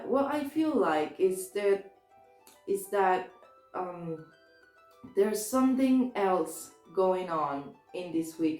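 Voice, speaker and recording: A woman with a sore throat, a little hoarse, giving a casual tarot reading in a chatty, hesitant way with many restarts. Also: fairly low pitch, a little mellow, roomy sound, low-quality recording. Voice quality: slightly hoarse. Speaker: tarot reader.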